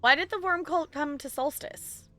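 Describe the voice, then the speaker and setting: Bright-voiced woman streaming a game. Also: high voice, normal speed, faint music behind. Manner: chatty, informal, talking to viewers. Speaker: game livestreamer. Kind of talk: casual live gameplay commentary and chat.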